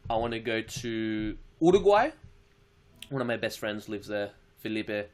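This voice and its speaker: A man's voice: lowish voice, sing-song, unhurried, a little mellow. A young Australian man with an Australian accent, chatting casually on a live stream, answering viewer questions in a laid-back, slangy way with casual swearing.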